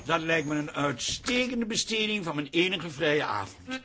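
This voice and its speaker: An actor playing an irritable, sarcastic son-in-law with an exasperated manner in a Dutch radio comedy. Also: male voice, fairly high pitch, big pitch swings, normal speed, pushed and bright, slight background noise.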